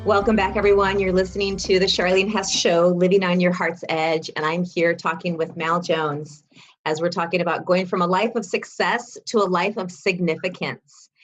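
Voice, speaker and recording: A woman hosting a talk show, interviewing a guest in a conversational style. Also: fairly low pitch. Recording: dull lo-fi sound.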